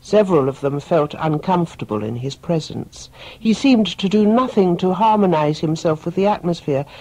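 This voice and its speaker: Soft, breathy storyteller with a deliberate delivery. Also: medium pitch, low-quality recording. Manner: measured, deliberate delivery with dramatic pauses. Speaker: storyteller narrator. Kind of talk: scripted audiobook story narration.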